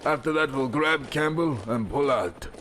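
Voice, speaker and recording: An actor playing a stern, brisk special forces colonel in a radio drama, clipped and authoritative. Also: male voice, mid-range voice, fairly bright tone, slight background noise, close to an everyday voice. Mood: commanding.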